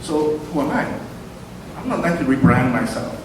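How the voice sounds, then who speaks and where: A man's voice: a little high, expressive, moderate pace. A man giving a rambling, self-deprecating speech at a brunch event, full of ums and asides. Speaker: event speaker. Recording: echoey hall from far off, noisy.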